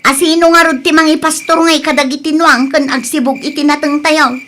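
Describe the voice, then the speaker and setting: Actress for suspicious, gossipy village aunt in radio drama: high, piercing female voice, Ilocano Filipino accent. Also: steady tone, fairly quick, fairly bright tone, a little hiss or hum. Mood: scolding.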